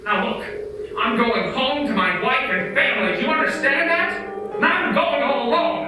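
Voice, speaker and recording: A man's voice: very high voice, animated, moderate pace. A man playing a desperate family man, frantic and pleading, recorded far off in an echoey room with music behind. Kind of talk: amateur radio play acting.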